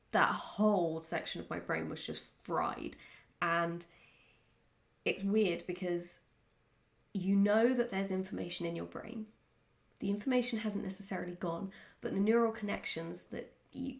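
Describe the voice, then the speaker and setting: A woman chatting casually in a question-and-answer vlog, speaking in a candid, reflective and somewhat halting way. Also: lowish voice, gravelly. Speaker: writer answering follower questions.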